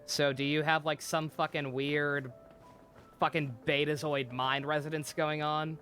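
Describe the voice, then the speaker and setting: A man's voice: fairly high pitch, little pitch movement, measured pace, bright, forceful tone, soft background music. A man doing casual live game commentary, rambling and swearing as he thinks aloud. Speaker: video game streamer.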